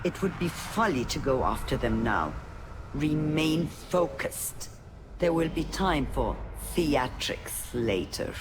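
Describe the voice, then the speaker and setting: An actor voicing a sly, haughty otherworldly broker in a video game, formal and coolly condescending. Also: male voice, on the high side, very animated, takes it slowly, heavily acted. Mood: cool, pragmatic. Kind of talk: video game character dialogue. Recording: game audio with background ambience noise.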